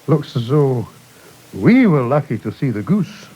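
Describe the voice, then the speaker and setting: Plain-spoken ship's mate. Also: male voice, mid-range voice, very animated, unhurried, dark tone, breathy edge. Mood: matter-of-fact. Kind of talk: 1950s radio acting. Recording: dull 1950s radio recording.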